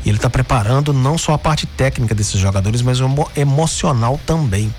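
Chatty Brazilian sports radio host. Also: male voice, medium pitch, fairly quick, darkish tone, faint music behind. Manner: chatty, frequent "né?" tags and restarts. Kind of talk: sports commentary show.

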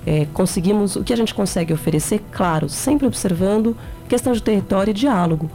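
A woman with a São Paulo accent, a campaign guest answering interview questions in an earnest, measured way. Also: mid-range voice, warm tone, soft background music. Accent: São Paulo Portuguese. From São Paulo, Brazil. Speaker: guest, education campaign member.

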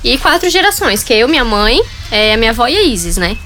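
A woman's voice: fairly high pitch, sing-song, fast, pushed and bright, faint music behind. A young woman being interviewed on the radio, chatty and grateful as she talks. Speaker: guest.

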